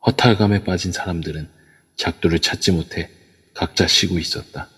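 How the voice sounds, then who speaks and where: Man, narrator, very deep, husky, long reverb, audio drama narration. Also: fairly even intonation, normal speed, a bit bright. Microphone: phone via a voice chat app.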